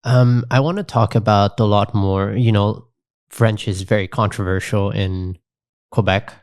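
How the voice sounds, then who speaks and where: A man's voice: lowish voice, fairly even intonation, a little slow, a little mellow, dry recording, studio quality. A man hosting a podcast, asking long, winding questions with several restarts. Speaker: podcast host. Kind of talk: casual podcast conversation.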